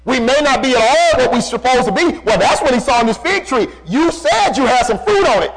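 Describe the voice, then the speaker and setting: A man's voice: very high voice, expressive, a little fast, fairly bright tone, low-quality recording. A man preaching a fervent call-and-response sermon, emphatic, repeating phrases and asking rhetorical questions.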